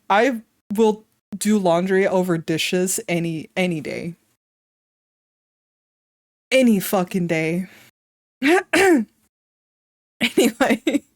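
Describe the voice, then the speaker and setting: Wheezy, slow-talking woman streamer. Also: mid-range voice, no echo, clean studio sound. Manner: chatty, swears casually, laughs often. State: slightly congested and wheezy. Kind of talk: casual live-stream chat.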